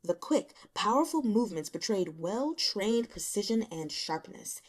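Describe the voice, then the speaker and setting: A woman reading a story aloud as dramatic, expressive scripted narration. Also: medium pitch, a little slow. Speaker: audiobook reader.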